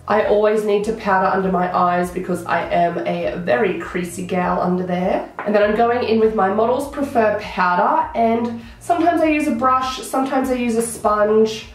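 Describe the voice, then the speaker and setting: Woman, croaky voice, young adult, makeup vlog chat, very echoey room. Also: medium pitch. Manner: chatty, enthusiastic product talk. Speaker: beauty vlogger. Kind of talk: casual makeup tutorial chat. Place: small room indoors.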